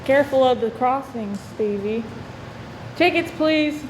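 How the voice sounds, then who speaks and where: Woman as a brisk, wisecracking bus conductor. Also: sits high. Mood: brisk, bossy. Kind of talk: radio play acting. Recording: clearly noisy recording.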